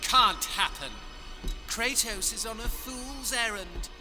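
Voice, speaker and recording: Gleeful male messenger god, high, slow, singsong mockery for a game, long reverb. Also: pushed and bright, exaggerated voice. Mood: gleeful taunting. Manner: mocking, singsong, drawn-out jeering. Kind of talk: theatrical video game acting. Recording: long reverb, music behind. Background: music.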